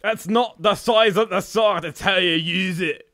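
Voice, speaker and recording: A man's voice: sits high, measured pace, bright, forceful tone, no echo, studio quality, voice pushed up, heavily acted. A man voicing a cocky warrior who brags and slings insults in an improvised comedic game dub. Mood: cocky, taunting. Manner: taunting, bragging.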